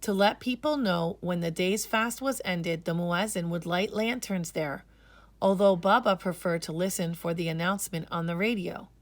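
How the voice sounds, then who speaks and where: A woman reads a children's story aloud with measured, expressive delivery. Her voice sits somewhat low, and the recording is dry and close. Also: no room sound. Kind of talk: scripted storybook narration.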